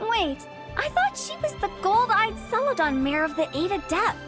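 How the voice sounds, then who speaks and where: Playful teen girl in a game, eager n dramatic, super animated, music under it. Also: very high-pitched, moderate pace. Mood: eager, speculative. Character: playful imaginative teenage girl with eerie theories.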